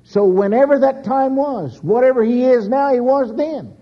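A man preaching a sermon slowly and emphatically, with dramatic pauses and sudden rises in pitch. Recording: dull lo-fi recording.

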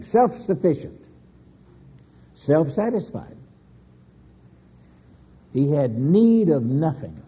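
A man preaching a sermon slowly and emphatically, with dramatic pauses and sudden rises in pitch. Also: breathy edge. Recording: dull lo-fi recording.

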